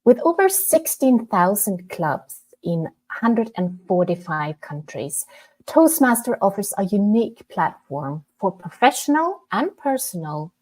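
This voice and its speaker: A woman hosting a podcast, reading a prepared introduction in a measured way and then asking questions. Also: medium pitch, a little mellow, rough recording. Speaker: podcast host. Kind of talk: scripted podcast introduction and interview.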